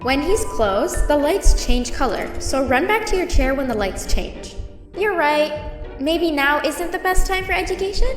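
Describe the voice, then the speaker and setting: A woman's voice: high voice, animated, moderate pace. A woman voicing a chirpy, bossy, anxious doll-like teacher creature in a video game. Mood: chirpy, secretive. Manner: chirpy, prim, urgent. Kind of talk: video game character acting. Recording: echoey hall, distant, music behind.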